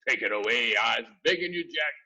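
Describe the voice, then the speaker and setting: A man's voice: animated, brisk, pushed and bright, dull audio, heavily acted. A man voicing a huge male giant who bellows and shrieks in panic during a nightmare, in a storybook reading. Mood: begging. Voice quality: shrill, bellowing.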